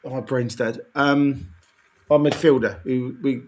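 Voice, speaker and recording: A tired man with a croaky voice, co-hosting a casual football chat and ranting with exasperation. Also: mid-range voice, fairly even intonation, measured pace, darkish tone, a little hiss or hum. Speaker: podcast co-host.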